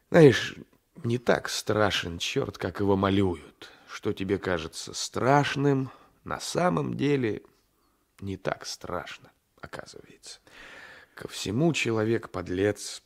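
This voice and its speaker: A man's voice: on the low side, lively intonation, takes it slowly, creaky, full character voice. An actor in a 1980s radio play as a domineering, sly gendarme, patronising and insinuating, often repeating his phrases. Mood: soothing, insinuating. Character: domineering, sly gendarme coaxing a weaker man. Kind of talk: Soviet-era 1980s radio play acting.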